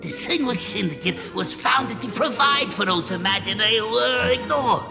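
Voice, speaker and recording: Game crime boss: wily, boastful, gravelly creak, music and long reverb behind. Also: mid-range voice, lively intonation, moderate pace. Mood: assured. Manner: theatrical, chuckling, drawling interjections like gee and eh. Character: eccentric, wily old crime syndicate boss, theatrical and boastful. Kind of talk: theatrical video game voice acting. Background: game background music.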